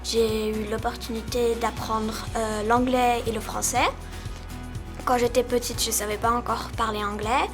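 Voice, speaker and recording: Slow, flat-voiced teenage girl student. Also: on the high side. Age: teens. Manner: hesitant, many fillers. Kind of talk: interview. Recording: music clearly underneath.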